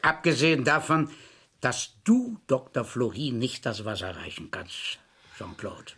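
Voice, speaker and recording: An actor playing a stern, dry-humoured French police father of grown children in a German radio crime drama, measured and sardonic. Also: medium pitch, expressive, fairly bright tone, husky. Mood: dry, reproving.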